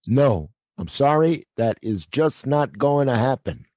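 A man voices a middle-aged night watchman in an audio play, gruff on the surface and fatherly underneath. He talks in a wry, world-weary way, at a medium pitch. The sound is dry and close. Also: animated, measured pace, darkish tone, no echo, natural voice. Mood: firm refusal.

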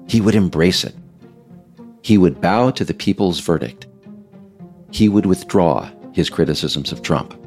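A man's voice: low voice, darkish tone. A man reading scripted narration as the author of an audiobook.

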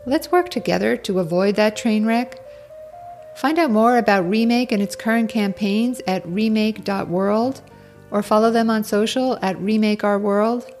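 A woman podcast host speaks in a clear, measured way as she asks questions and reads her sign-off. Her pitch is mid-range, and faint music plays softly behind her. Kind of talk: interview and scripted outro.

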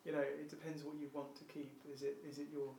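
A man's voice: a little high, little pitch movement, fast, warm tone, a little hiss or hum. A man thinking aloud in a group discussion, hesitant, with many fillers and restarts. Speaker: discussion participant. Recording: roomy echo.

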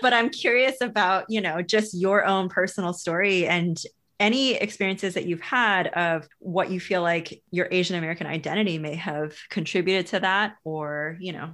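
A woman's voice: fairly low pitch, normal speed, a bit bright. A woman hosting a conversational interview, asking questions in an informal, roundabout way. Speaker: interview host.

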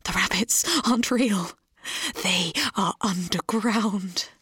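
A woman's voice: medium pitch, slow pace, fairly bright tone, husky, dry recording, studio quality, exaggerated voice. A woman playing a paranoid conspiracy theorist who delivers dramatic, preachy warnings in a mock monologue. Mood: ominous, insistent. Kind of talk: mock conspiracy monologue in a comic sponsor spot.

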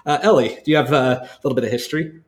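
A man's voice: medium pitch, quick pace. Rambling, thoughtful man chatting casually. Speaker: podcast co-host. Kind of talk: casual chat.

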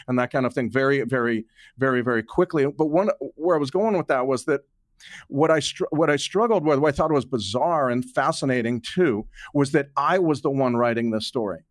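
A man's voice: mid-range voice, dry recording, studio quality. A man speaking as an interview guest, explaining conversationally and emphatically, repeating words for stress. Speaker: guest, author and journalist.

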